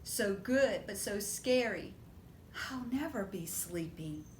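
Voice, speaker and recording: A woman voicing an excitable, book-loving little monkey from a picture book, in a childlike, dramatic and pleading manner. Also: slow pace. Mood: wistful, pleading. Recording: roomy echo with faint low rumble.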